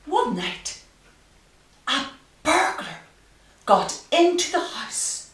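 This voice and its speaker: In an echoey room, a little away from the microphone, a woman reads a children's story aloud. She takes her time and leans dramatically on key phrases, with pitch that swings widely. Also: sing-song, very slow, a bit bright. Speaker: storyteller reading a picture book to children. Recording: roomy echo, distant microphone.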